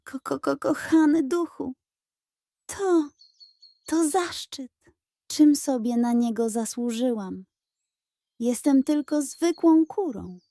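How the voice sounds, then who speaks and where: A woman voicing a proud, gullible, excitable hen who clucks eagerly in a children's fairy tale. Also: high-pitched, slow, long pauses, no room sound, clean studio sound, pitched above the performer's own voice, exaggerated voice. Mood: awestruck.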